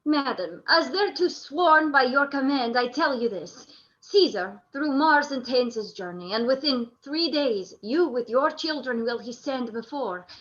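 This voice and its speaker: A woman plays a dutiful court messenger in radio drama. Her high voice declaims formally, captured with a slightly muffled sound. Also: a little slow, a bit bright. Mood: urgent, formal. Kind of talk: theatrical Shakespearean verse in a radio play.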